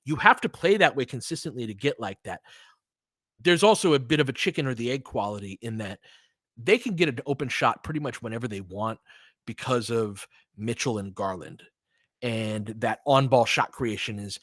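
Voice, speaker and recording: Guy doing casual hoops play by play, medium pitch voice, clipped calls and chatty breakdowns, dry audio no echo. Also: animated, brisk. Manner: conversational, analytical, uses clipped phrases during play. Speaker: basketball play-by-play commentator and analyst. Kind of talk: casual live game commentary with tactical asides.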